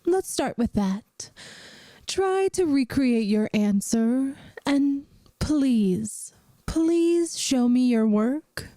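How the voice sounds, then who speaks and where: A woman playing a centuries-old vampire math teacher in an ASMR roleplay, gentle, wry and reassuring, with a soft, soothing, teacherly manner. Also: sits high, lively intonation, drawn-out words, no echo, clean studio sound, heavily acted. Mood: patient.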